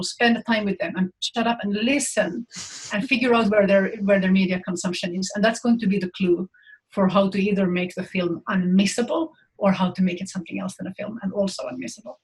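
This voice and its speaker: A woman's voice: medium pitch, low-quality recording. A woman in her forties from Finland, a film industry guest speaking frankly on a video call from a room at home, often restarting and repeating words. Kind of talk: panel talk on a video call. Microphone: laptop mic on a video call.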